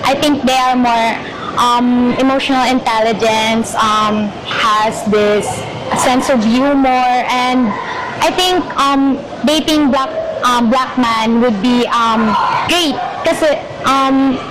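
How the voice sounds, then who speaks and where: Slow, halting woman in street noise. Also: a little high, fairly even intonation. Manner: halting, many ums. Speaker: street interviewee. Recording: outdoors, loud traffic noise.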